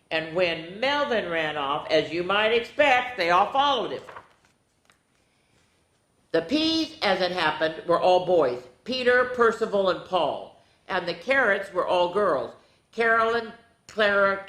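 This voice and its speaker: A woman hosting a children's story time, reading a storybook aloud in an animated, playful way with dramatic asides to the young listeners. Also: lowish voice. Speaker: children's storyteller, show host. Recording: roomy, echoing indoor sound.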